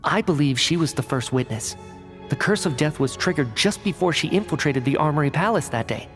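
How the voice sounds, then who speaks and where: An actor playing an analytical male researcher in a video game cutscene, explaining in a measured, cerebral way. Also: on the high side, lively intonation, close to an everyday voice. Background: game score music.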